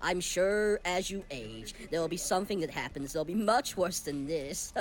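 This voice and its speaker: A man playing a forgetful, tactless company boss in a comic audio play, halting and cheerfully oblivious. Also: high voice, animated. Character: rambling, absent-minded, tactless male company boss.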